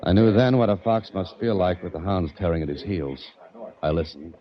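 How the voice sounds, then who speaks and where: A man's voice: sits low, moderate pace, lightly acted. An American actor playing a hunted innocent man on the run in a 1940s radio mystery, terse and desperate, with clipped hard-boiled delivery. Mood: tense, haunted. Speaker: lead actor and first-person narrator. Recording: muffled, noisy 1940s radio recording.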